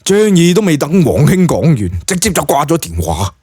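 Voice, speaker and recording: A man's voice: a little high, wildly swooping pitch, moderate pace, mellow, slightly rough, no room sound, clean studio sound. A man with a Hong Kong Cantonese accent narrating a serialised novel in a dramatised audiobook style.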